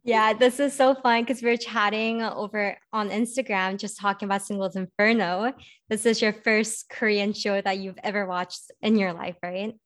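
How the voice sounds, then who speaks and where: A woman's voice: medium pitch, steady tone, moderate pace. Chatty American woman hosting a podcast. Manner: informal, chatty, encouraging. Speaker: podcast host. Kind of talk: casual podcast chat.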